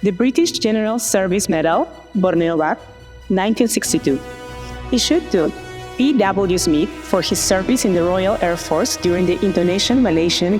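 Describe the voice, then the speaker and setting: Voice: medium pitch, darkish tone. Formal announcer over music. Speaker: award ceremony announcer. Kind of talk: scripted announcement.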